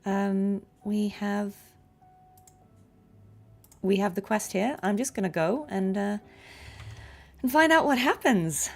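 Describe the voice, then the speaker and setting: A woman's voice: mid-range voice, slow, gravelly, long pauses. A woman game streamer chatting informally and musing aloud to her live viewers. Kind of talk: casual live stream chat.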